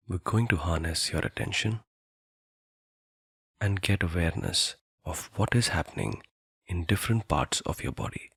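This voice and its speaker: A man guiding a meditation in a soothing, deliberate manner, leaving long pauses between phrases. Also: low-pitched, creaky, fairly bright tone, breathy edge, no room sound, clean studio sound. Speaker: meditation guide. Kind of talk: guided meditation narration.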